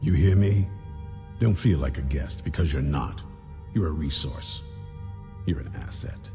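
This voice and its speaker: An extremely low-voiced, hard commander of vampire hunters in a fantasy game. He is gruff, blunt and menacing, with a gravelly rumble and music clearly playing behind him. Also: a little slow, warm tone, long reverb tail. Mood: contemptuous, threatening. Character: stern, hostile male vampire-hunter commander. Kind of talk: video game dialogue acting.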